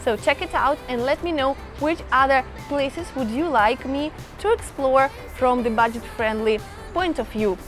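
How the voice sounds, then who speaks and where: Chatty high-voiced woman vlogging outdoors. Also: normal speed, soft background music. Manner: chatty, enthusiastic, addresses viewers as guys. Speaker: travel vlogger. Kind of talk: casual travel vlog to camera. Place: outdoors in a small town.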